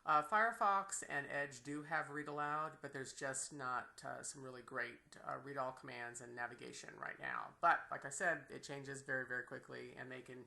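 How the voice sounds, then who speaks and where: Woman, bright creaky voice, enthusiastic teacher, unscripted screen-share tutorial. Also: extremely low pitch, moderate pace, hardly any pauses. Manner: enthusiastic, exclaims in delight. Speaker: teacher giving software tutorials.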